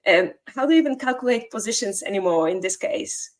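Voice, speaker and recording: A woman's voice: mid-range voice, lively intonation, brisk. Polish-accented woman explaining at length. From Poland. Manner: fluent, explanatory, with small grammar slips and restarts. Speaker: guest SEO consultant. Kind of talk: conversational interview.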